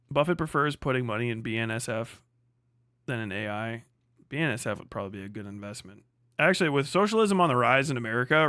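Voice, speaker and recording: A man's voice: mid-range voice, fairly bright tone, no echo, studio quality. A man hosting a casual livestream, talking bluntly with lots of slang, swearing and sardonic exaggeration. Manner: sardonic, hyperbolic. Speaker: livestream host. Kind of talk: casual livestream rant, chatting with viewers.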